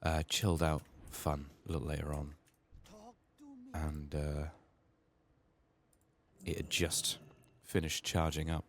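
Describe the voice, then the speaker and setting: A man with a sick, strained voice murmuring soft-spoken ASMR gaming commentary, sometimes near a whisper, thinking aloud close to the mic. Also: completely flat delivery, slow pace, long pauses. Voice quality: husky, gravelly, very low. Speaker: game streamer making a playthrough.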